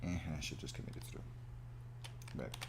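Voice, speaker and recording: A man's voice: normal speed, very noisy. A man chatting casually as he works on his game art, muttering to himself and exclaiming in a self-deprecating way. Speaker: indie game developer and animator streaming his work. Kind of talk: casual devlog chat while drawing, thinking aloud.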